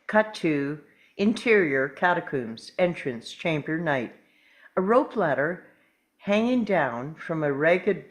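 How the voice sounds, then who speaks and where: A woman narrating scripted stage directions at a table read, measured, with dramatic pauses. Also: deep voice.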